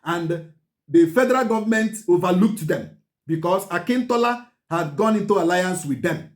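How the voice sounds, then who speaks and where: Nigerian guy, kinda high voice, lecturing hard on history, keeps repeating himself for emphasis. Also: lively intonation, normal speed. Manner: emphatic, repeats key points, urges listeners to pay attention; mixes Igbo phrases into English. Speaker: channel host. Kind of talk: history commentary to camera.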